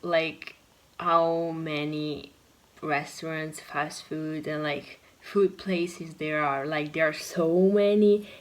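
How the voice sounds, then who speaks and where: An eighteen-year-old woman from Italy speaks English with an Italian accent, her voice sitting a little low. She talks slowly and casually, often pausing to find the next word. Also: fairly even intonation, low-quality recording. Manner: unhurried, pauses to search for words. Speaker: former exchange student. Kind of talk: casual vlog chat.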